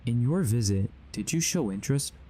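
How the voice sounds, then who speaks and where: A man's voice: medium pitch, animated, a little fast, dark tone, slightly rough. A man playing a grave, earnest believer warning others of a sinister conspiracy in an audio drama. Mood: stern warning. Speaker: voice actor.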